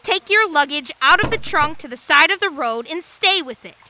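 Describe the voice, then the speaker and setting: A woman's voice: high voice, animated, moderate pace, noisy background. A woman playing a ruthless, commanding gun-wielding villainess in an audio drama, curt and mockingly polite. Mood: menacing, curt. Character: ruthless, commanding villainess holding people at gunpoint.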